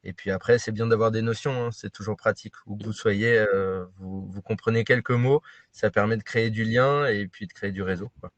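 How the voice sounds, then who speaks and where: A man talking as a guest on a panel about working in sport, animated and full of hesitations. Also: on the low side, brisk, no echo. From France and Brazil. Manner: animated, hesitant with many fillers. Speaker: guest, sports club employee. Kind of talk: panel discussion answers.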